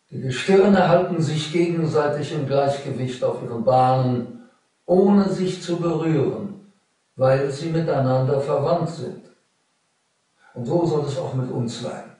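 A grave old father performed by a man in a radio drama, slow and measured, with a slightly raised pitch. The sound is very echoey, set back from the mic, with music behind. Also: a little mellow, far from the mic. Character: grave, solemn old father teaching his son to fly.

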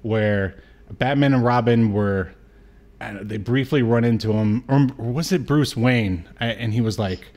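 A man's voice: medium pitch, moderate pace, darkish tone. A man in his thirties co-hosting a podcast, recapping a film in a casual, joking way over a video call. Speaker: podcast co-host. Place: indoor room on a video call.

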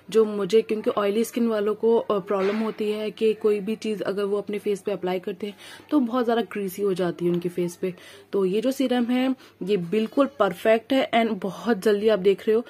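A woman's voice: medium pitch, steady tone, moderate pace, dark tone. Indian woman vlogger with a cold. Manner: chatty explainer, mixing in English phrases. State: has a cold. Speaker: beauty vlogger reviewing skincare. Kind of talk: casual product review to camera.

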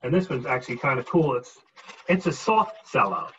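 A man in his forties giving a casual show-and-tell seminar from home on a video call, relaxed and explaining as he holds items up. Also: medium pitch, normal speed, breathy edge. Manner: relaxed, explains while holding up items, frequent ums. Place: home dining room with a glass cabinet. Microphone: webcam on a video call. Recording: muffled video-call audio.

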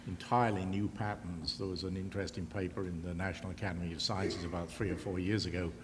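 An older man speaks from a panel table in a large auditorium, choosing formal, elaborate words at a measured pace. His voice sits very low. The sound carries a long hall reverb with faint background noise. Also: steady tone. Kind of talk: panel discussion answer.

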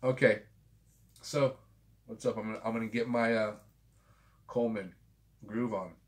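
A man's voice: fairly low pitch, fairly even intonation, normal speed. A man in his forties hosting a home vlog, talking loosely and swearing freely after a couple of drinks, recorded on a desk camera in a small room. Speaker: vinyl collector channel host. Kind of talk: casual rambling vlog. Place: small home room with framed pictures and a guitar.

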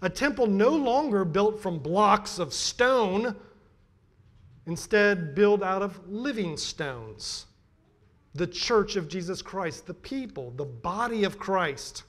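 High-pitched American man preaching. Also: animated, slow, a bit bright. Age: middle-aged. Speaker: pastor. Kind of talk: sermon. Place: church pulpit, indoors.

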